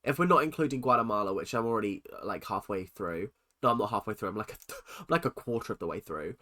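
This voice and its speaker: Bright, nasal man with a cold. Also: fairly low pitch, quick pace. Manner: excitable, chatty, swings between loud exclamations and muttered asides. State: stuffy-nosed from a cold. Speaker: reaction video host. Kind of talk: casual reaction commentary.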